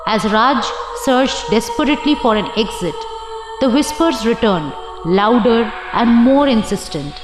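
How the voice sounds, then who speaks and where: Echoey reverb over loud music, female audiobook narrator, horror storytelling, dramatic and suspenseful. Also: on the high side.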